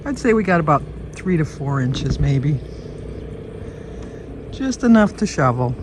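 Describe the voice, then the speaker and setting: A woman's voice: sits low, sing-song, unhurried, background noise. A woman, chatting casually to her viewers and rambling through everyday stories. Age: 70s. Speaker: vlogger.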